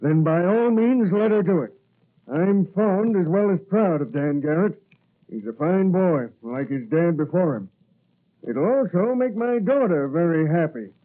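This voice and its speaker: High, animated radio police commissioner. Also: male voice, measured pace. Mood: fond, concerned. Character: fond, proud police commissioner and father. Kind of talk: 1940s radio acting. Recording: muffled, narrow-band vintage radio recording.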